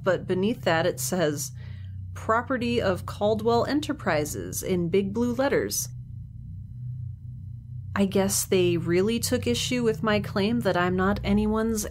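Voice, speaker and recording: Slow-talking, wry captive young woman played by an actress. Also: medium pitch, a little hiss or hum, close to an everyday voice. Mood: wry dismay. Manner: wry, rambling. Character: weary, sardonic young woman held captive in space. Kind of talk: audio drama monologue and dialogue.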